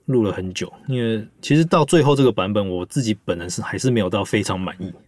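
Male podcast host, Taiwanese Mandarin accent, lowish pitch, quick casual delivery, close dry sound. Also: darkish tone, no echo. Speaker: podcast host and audio drama director. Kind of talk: casual chat about producing an audio drama.

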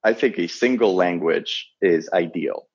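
A man's voice: low voice, slightly rough, dry recording, highs cut off. A man from the Dominican Republic whose first language is Spanish, speaking as an interview guest with frequent restarts and fillers. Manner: halting, many restarts and fillers. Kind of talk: thoughtful interview talk.

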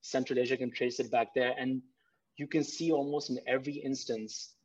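A man's voice: medium pitch, steady tone, moderate pace, breathy edge, lo-fi sound. A man from Pakistan, a guest in a casual conversation, talking earnestly and often repeating words as he gathers his thoughts. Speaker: podcast guest.

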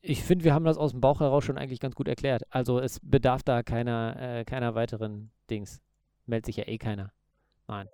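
Podcast co-host, male: dark, relaxed tone with a gravelly edge, loose banter. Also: mid-range voice, a little fast, dry recording, studio quality. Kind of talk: casual chat.

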